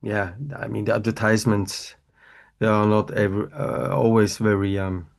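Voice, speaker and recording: A man's voice: fairly low pitch, flat delivery, normal speed, creaky. A man from Switzerland with a Swiss French accent, a native French speaker, hesitantly explaining his startup on a video call. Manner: hesitant, searching for words. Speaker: webinar participant. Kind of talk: casual chat.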